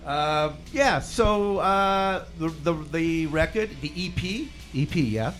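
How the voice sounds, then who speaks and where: A man with a Midwestern accent hosts a loose radio interview. He rambles, swears freely and his voice bounces up and down at a slightly high pitch. Faint music plays underneath. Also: animated, takes it slowly, fairly bright tone. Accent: Midwestern American. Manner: chatty, swearing, laughing often. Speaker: radio show host. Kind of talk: casual interview chat.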